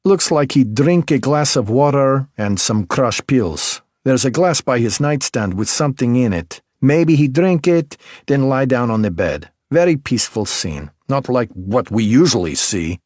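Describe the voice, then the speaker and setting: A man's voice: medium pitch, expressive, unhurried, hardly any pauses, a little mellow, no echo, clean studio sound, voice pushed up. A man voicing a brusque middle-aged woman with a Belarusian accent who works as a medical examiner technician and speaks blunt, broken English.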